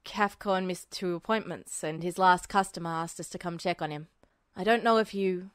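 A woman's voice: on the low side, moderate pace, clear, resonant tone, dry recording, studio quality, voice pushed down, lightly acted. A woman voicing a matter-of-fact male town police officer with plain, clipped speech, in a story reading. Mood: explaining, uneasy.

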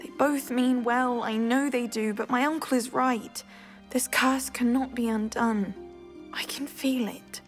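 Weary young British witch, high and gentle , acted by a woman. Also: fairly even intonation, measured pace, close to an everyday voice. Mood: resigned, sad. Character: weary, resigned, cursed teenage witch, gentle and polite. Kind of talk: video game character dialogue. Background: background music.

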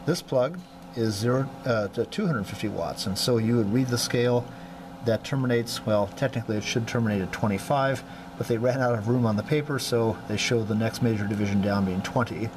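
A man giving a methodical hands-on demonstration of electronics test equipment as an instructor, clearing his throat now and then, at a workbench indoors. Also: lowish voice, a little fast, background noise. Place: indoor workroom.

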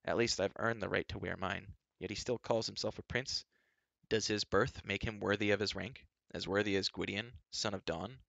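A man's voice: fairly low pitch, fairly even intonation, moderate pace, gravelly, a bit bright, no room sound, muffled recording. A man voicing a resentful, hot-headed young pig keeper in a storybook, muttering and bitter. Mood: sulky, bitter. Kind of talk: audiobook character voice.

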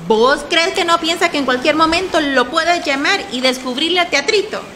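An actress playing an outspoken, emotional Honduran immigrant shopkeeper in a radio soap, speaking expressively and colloquially with a Honduran accent. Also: female voice, extremely high pitch, moderate pace, bright, forceful tone, slight background noise. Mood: indignant. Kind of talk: radio soap opera acting.

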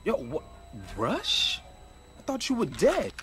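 An actor playing a gruff young hip-hop tough guy in a video game, speaking in terse slang. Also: sits high, wildly swooping pitch, takes it slowly, a bit bright, faint music behind, heavily acted. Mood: wary.

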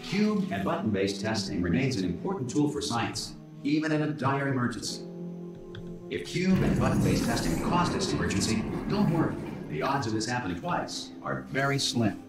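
An actor playing an unflappable corporate facility announcer in a video game, formal, crisp and blandly upbeat. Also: male voice, medium pitch, very animated, moderate pace, echoey room, loud music behind the voice. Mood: blandly reassuring. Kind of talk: scripted pre-recorded announcement in a video game.

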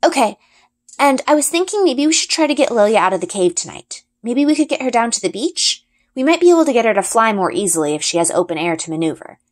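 A woman's voice: fairly high pitch, big pitch swings, quick pace, pitched above the performer's own voice. A woman voicing an eager, confident young girl adventurer in a storybook, with casual youthful phrasing.

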